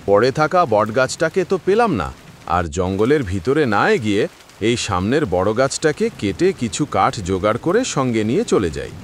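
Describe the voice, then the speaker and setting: A man's voice: mid-range voice, sing-song, moderate pace, slight background noise, natural voice. A man voicing a poor, humble village woodcutter in a cartoon, speaking earnestly and plaintively. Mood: musing. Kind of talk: Bengali moral-story cartoon voice acting.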